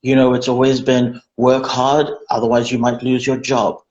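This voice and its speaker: A man's voice: medium pitch, completely flat delivery, moderate pace, a little mellow. A man hosting an online interview over a video call, chatty and effusive, with many fillers and restarts. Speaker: interview host. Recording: dull, echoey video call.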